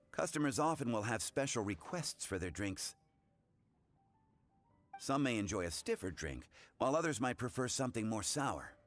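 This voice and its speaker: An actor playing a friendly, seasoned bartender in a video game, talking in an affable, instructive way. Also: male voice, mid-range voice, lightly acted. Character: friendly, seasoned male bartender mentoring beginners.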